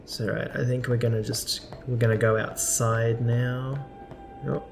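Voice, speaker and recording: A man with a gravelly, mid-pitched voice commentates casually over a video game. He talks in a hesitant, informal way, like a let's play host. Light music sits behind him. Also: flat delivery, measured pace.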